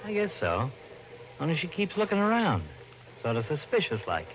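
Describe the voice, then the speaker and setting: A man's voice: a little high, huge pitch swings, moderate pace, muffled recording. A man acting as a fretful, folksy American police lieutenant, a grumbling sidekick in an old radio detective drama. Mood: grumbling, suspicious. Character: gruff-humored male police lieutenant, fretful sidekick friend. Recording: noisy old-time radio recording.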